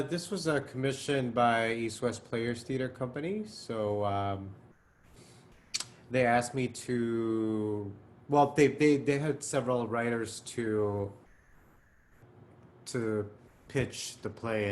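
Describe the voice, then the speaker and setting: Man, playwright, casual speech, halting restarts, medium pitch, video call. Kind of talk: casual talk-back answer.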